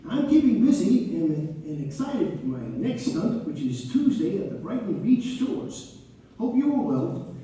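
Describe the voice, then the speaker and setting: Man playing proud young escape artist, fussy self-promoter, lively high pitch, stage read-through, distant in a reverberant hall. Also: unhurried. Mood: proud, cheerful. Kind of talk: theatrical dramatic read-through of a letter. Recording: distant mic in echoing hall, faint noise.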